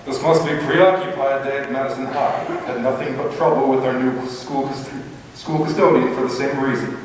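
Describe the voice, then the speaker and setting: A man playing a pompous, irritable, sarcastic high school principal in an old-time radio comedy. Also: fairly even intonation, normal speed, lightly acted. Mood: grumbling. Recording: echoey hall from far off, faint hiss.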